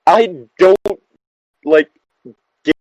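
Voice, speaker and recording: A man's voice: high-pitched, drawn-out words, darkish tone, no echo. A man reading a story aloud in a casual style as a co-host, with bantering asides. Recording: dull lo-fi close recording.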